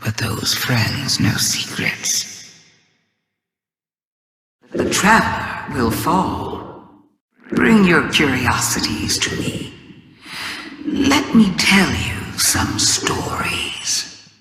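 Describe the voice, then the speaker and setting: A man playing a cryptic, gloating game schemer who speaks in riddles, deliberate and theatrical. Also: fairly high pitch, big pitch swings, pushed and bright, slight background noise, full character voice. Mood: sly, inviting. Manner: slow, deliberate, ominous. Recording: echoey hall-like reverb.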